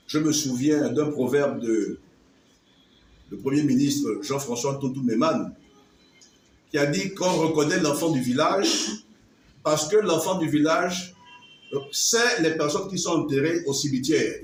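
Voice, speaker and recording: A man, leading a political movement, giving an emphatic public speech with measured pauses. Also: on the high side, animated, slight background noise. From Gabon. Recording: echoey, distant mic with faint ambience.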